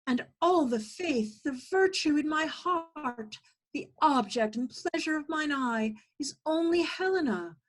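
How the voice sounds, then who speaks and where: A woman reads an earnest young noble suitor in a Shakespeare play. The voice is high and swoops expressively, yet the phrases come slowly and with emphasis, each one placed deliberately. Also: heavily acted. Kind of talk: Shakespeare table read. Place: video call.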